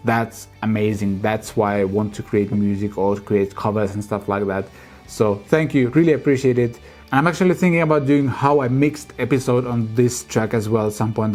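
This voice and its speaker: Mellow-voiced man in his thirties. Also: medium pitch. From Finland. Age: 30s. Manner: relaxed, chatty, appreciative. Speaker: music channel host. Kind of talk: casual vlog answering viewer comments.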